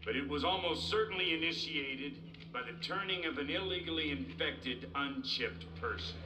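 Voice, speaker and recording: A man's voice: fairly high pitch, normal speed, recorded from a distance, lightly acted. A man playing a stern, authoritative American general making a formal official statement in a video game cutscene. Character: stern male general turned defense secretary. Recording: echoey hall from afar, music behind.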